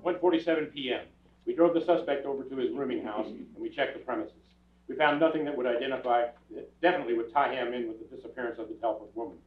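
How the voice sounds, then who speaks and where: A man's voice: mid-range voice, quick pace, faint music behind, natural voice. An older man playing a terse, businesslike police detective in a staged radio crime drama, speaking in a matter-of-fact, procedural manner. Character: businesslike, clipped male police detective narrating his case. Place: theatre stage before an audience. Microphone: far camera. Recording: echoey theatre from far off, tinny.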